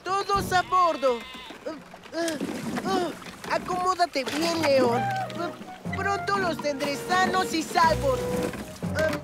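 A mischievous, impulsive little boy from a cartoon, very high in pitch and slow to get words out. He whines and exclaims in a Latin American accent, with loud music underneath. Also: animated, exaggerated voice. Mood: eager. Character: impulsive, mischievous young boy, eager to explore. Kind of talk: animated children's cartoon dub.

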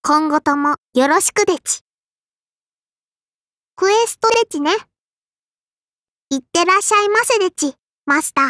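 A woman's voice: extremely high pitch, animated, measured pace, pauses often, pushed and bright, no echo, studio quality, heavily acted. A woman voicing a small, strict and prim sparrow-spirit girl in an anime game, with a childlike lisp and polite but scolding speech. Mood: polite, dutiful. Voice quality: childlike, lisping. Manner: polite but scolding, quirky verbal tic. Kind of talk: anime game character voice lines.

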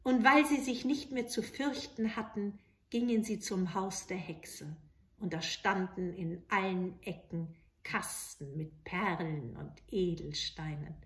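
A middle-aged woman narrating a fairy tale for children with expressive, dramatic and deliberate phrasing. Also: lowish voice. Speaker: storyteller. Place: small indoor room with bookshelves.

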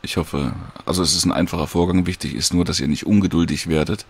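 A man casually and hesitantly talks viewers through a screen recording in an informal, unhurried tutorial. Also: deep voice, fairly even intonation, breathy edge. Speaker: audiobook creator giving a download tutorial.

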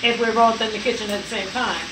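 Older woman at the stove, far from the phone mic, clear sizzling and frying over her quiet lines. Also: fairly high pitch, fairly even intonation, quick pace. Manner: brief, off to the side. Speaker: home cook. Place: small home kitchen, indoors. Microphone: distant phone camera. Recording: off-mic, frying noise behind.

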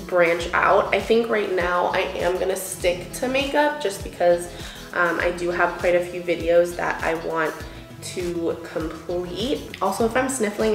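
A woman's voice: on the low side, reverberant. A young woman vlogging while doing her makeup, chatty and full of fillers, a little sniffly, filmed by a camera across an indoor room. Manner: chatty, filler-heavy, musing about worries. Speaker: beauty vlogger. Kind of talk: casual get-ready-with-me chat.

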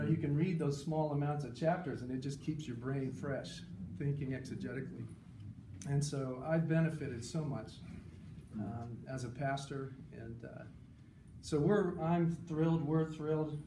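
A man's voice: fairly high pitch, normal speed. A man, a pastor, giving an informal, earnest and enthusiastic tribute speech to an audience. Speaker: pastor speaking at a tribute session. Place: conference session room, recorded from a distance. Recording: roomy, distant mic, loud background noise.

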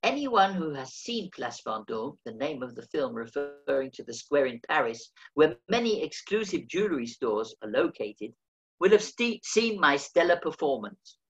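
A man's voice: on the high side, sing-song, normal speed, fairly bright tone, highs cut off. A man, reading his own memoir aloud over a video call with dry, wry humor. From England. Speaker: memoir reader.

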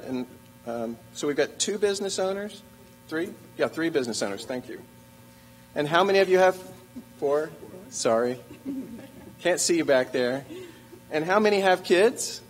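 A man in his sixties moderating a town hall panel on a theater stage, talking in a joking, conversational way into a stand mic. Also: a little high. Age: 60s.

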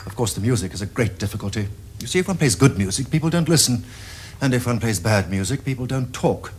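An actor playing a witty, teasing young gentleman with an upper-class English accent, in a theatrical period comedy. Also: mid-range voice, expressive, quick pace, lightly acted. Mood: smoothly persuasive. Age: young adult. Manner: theatrical, epigrammatic, crisp upper-class phrasing. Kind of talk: period stage comedy acting. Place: furnished studio drawing-room set.

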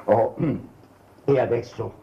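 An actor playing a genial, hearty older uncle in an old Italian television drama, with a jovial, affectionate manner. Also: male voice, mid-range voice, fairly even intonation, takes it slowly, creaky. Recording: dull, faintly noisy 1950s TV soundtrack.

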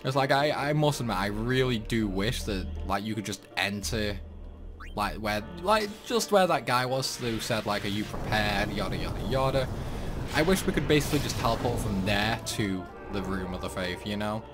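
A man's voice: lowish voice, lively intonation, moderate pace. A man giving casual playthrough commentary on a video game, rambling along with grumbling asides. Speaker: gaming video host. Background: game music.

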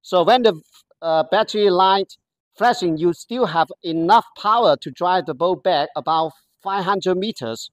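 A presenter gives a product walkthrough in careful, halting, non-native English, pausing often to find words. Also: medium pitch, no room sound. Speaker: product demonstrator.

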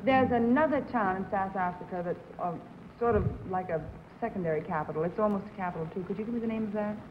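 A woman on a 1950s game show panel asking questions in a hesitant, halting way, in thin old broadcast audio. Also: mid-range voice, long reverb tail, lo-fi sound, slight background noise. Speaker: celebrity panelist.

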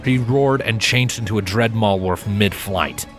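A man's voice: on the low side, measured pace. A man reading scripted fantasy fiction as a storybook narrator. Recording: music bed behind narration.

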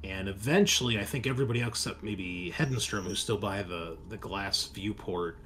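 A man narrating casually as game master, improvising in a halting way. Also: fairly low pitch, lively intonation, gravelly, fairly bright tone, a little hiss or hum.

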